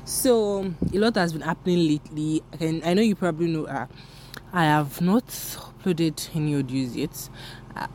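A woman's voice: low voice, a little mellow, a little hiss or hum. Young Nigerian woman rambling candidly. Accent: Nigerian English. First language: Yoruba. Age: young adult. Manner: rambling, candid, self-deprecating. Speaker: podcast host. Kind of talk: casual solo podcast chat.

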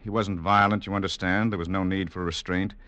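Low-voiced, composed military doctor. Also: male voice, little pitch movement, bright, forceful tone, dry recording. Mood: dry, clinical. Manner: measured, formal, clinical. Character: composed, skeptical military doctor, a man of authority. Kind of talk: 1940s-50s radio drama narration and acting.

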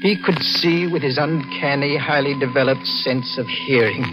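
A man's voice: medium pitch, moderate pace, close to an everyday voice, low-quality recording. A tormented young man, played by a male radio actor bares a murderous conscience in a 1940s thriller. His delivery is intense and overwrought, with prominent music running behind him on an old recording. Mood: brooding confession. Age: young adult.

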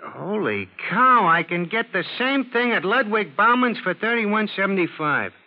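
A rough-edged, plain-talking police sergeant from old radio. His voice sits fairly high and jumps around in pitch, with slangy grammar. The sound is slightly dull. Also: sing-song, normal speed. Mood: blunt, eager. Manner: slangy, ungrammatical, plain talk. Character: blunt, plain-spoken, unrefined male police sergeant. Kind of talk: 1940s radio drama acting. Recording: old muffled radio drama recording.

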